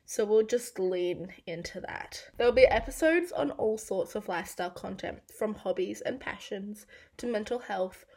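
A young Australian woman in her twenties talks through her own podcast. Her voice is croaky and raspy because she has nearly lost it, but she stays chatty and pokes fun at herself. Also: mid-range voice, normal speed, darkish tone. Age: 20s. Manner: chatty and self-deprecating. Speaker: podcast host. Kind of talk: casual solo podcast monologue.

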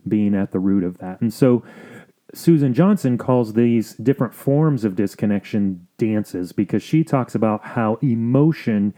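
A man with an American accent hosting an explanatory podcast as a counselor, talking in a measured, earnest way with pauses. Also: on the low side, lively intonation, dark tone. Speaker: podcast host and family counselor.

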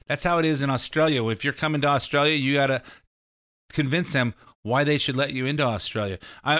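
Middle-aged American male talk host, smooth, bright voice, opinionated, loose and repetitive delivery. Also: medium pitch. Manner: rambling, repeats phrases, acts out imagined quotes. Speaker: political commentator and show host. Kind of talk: casual opinionated political commentary.

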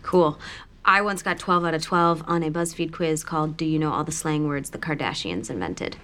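A brash, low-voiced young woman. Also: moderate pace, a bit bright. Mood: flippant. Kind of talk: sitcom clip.

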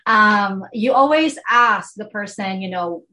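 A woman's voice: medium pitch, animated, measured pace, sharp tone. Filipino woman co-hosting a faith podcast. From Philippines. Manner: affirming, frequent small agreements. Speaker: podcast co-host.

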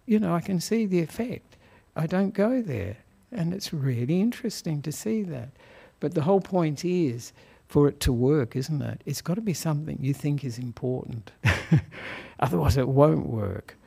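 Soft gravelly australian guy, monk giving a dharma talk, kinda husky, mellow tone. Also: fairly high pitch, lively intonation, moderate pace. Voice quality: soft-spoken. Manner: gentle, chuckles often, hesitant with many fillers. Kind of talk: relaxed Dhamma talk to a lay audience. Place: meditation hall of a Buddhist society.

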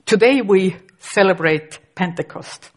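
Estonian woman preaching in english, middle-aged, takes her time, restarts now and then. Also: mid-range voice. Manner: slow, careful delivery with occasional restarts. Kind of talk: sermon.

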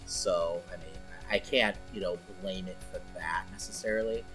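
A man's voice: low voice, fairly even intonation, normal speed, a bit bright, soft background music. A man in his forties co-hosting a podcast, debating films casually in a blunt, teasing way with some swearing. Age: 40s. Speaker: podcast co-host.

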